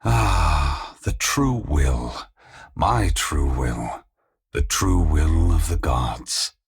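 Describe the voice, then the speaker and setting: An actor playing an ancient, solemn, gentle and remorseful male god in a video game, speaking in a slow, measured, philosophical way with a deep, gravelly, husky voice. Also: animated, exaggerated voice. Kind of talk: scripted video game character dialogue.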